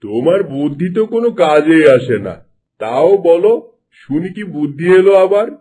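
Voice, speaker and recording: A man's voice: on the high side, sing-song, moderate pace, dark tone, slightly rough. A man voicing a vain, greedy, scheming king in a cartoon, with an imperious, commanding manner. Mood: scornful, alarmed. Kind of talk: Bengali cartoon dubbing.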